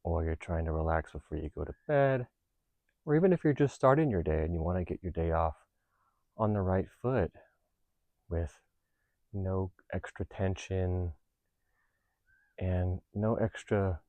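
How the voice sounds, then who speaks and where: A man leading a guided meditation, giving gentle instructions in a calm, unhurried, reassuring manner. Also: deep voice, dry recording. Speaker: meditation teacher.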